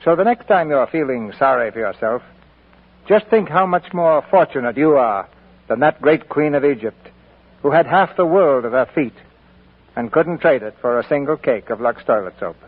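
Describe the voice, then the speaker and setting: A man narrating and announcing a radio drama in a smooth, formal style. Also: mid-range voice, lively intonation, moderate pace. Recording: tinny old radio broadcast, faint music.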